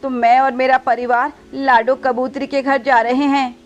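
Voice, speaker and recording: Woman as a chatty high-voiced male parrot. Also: flat delivery, measured pace, dull audio, soft background music. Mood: friendly, animated talk.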